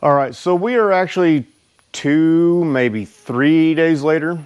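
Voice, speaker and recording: Slow-talking man, casual motorcycle vlogger. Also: on the high side, darkish tone, smooth, highs cut off. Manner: informal, halting, unhurried delivery. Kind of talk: casual product review to camera.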